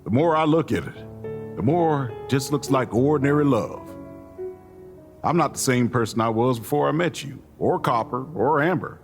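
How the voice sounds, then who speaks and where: Audio drama role, male actor: folksy male mentor, mid pitch with lively swings, gently coaxing, music beneath. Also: normal speed, lightly acted. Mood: reassuring, frank. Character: folksy, warm-hearted older mentor friend, gently teasing. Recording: music underneath.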